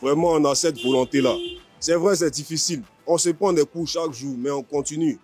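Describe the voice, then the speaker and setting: A man's voice: fairly high pitch, a little fast, a little mellow, faint music behind. A man with an Ivorian accent, a fighter talking confidently and boastfully in an informal interview. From Ivory Coast. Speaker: MMA fighter being interviewed.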